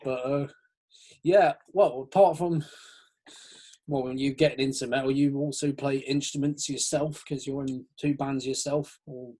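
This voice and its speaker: Rambling young podcast host. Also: male voice, mid-range voice, fairly even intonation, a little slow, warm tone, husky. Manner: rambling, lots of fillers, laughs. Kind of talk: casual chat.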